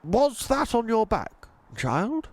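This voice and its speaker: A man's voice: extremely high pitch, big pitch swings, takes it slowly, a little hiss or hum, pitched above the performer's own voice, heavily acted. A man voicing a kindly, eccentric old tramp with courteous, old-fashioned manners in a children's storybook. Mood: concerned. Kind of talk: children's storybook character reading.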